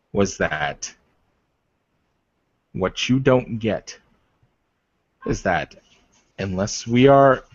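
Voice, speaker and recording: Man playing a blunt, deliberate werewolf, low-voiced. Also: no echo, lo-fi sound. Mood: exasperated, insistent. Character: blunt, exasperated male werewolf negotiating partnership.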